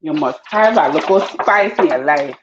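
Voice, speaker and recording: A woman with a Panamanian accent, chatting casually with her viewers while she cooks, lively and full of slang. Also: moderate pace, noisy background. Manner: lively, chatty, slangy, swings high when excited. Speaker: vlogger. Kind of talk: casual live-stream chat while cooking. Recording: dull lo-fi audio.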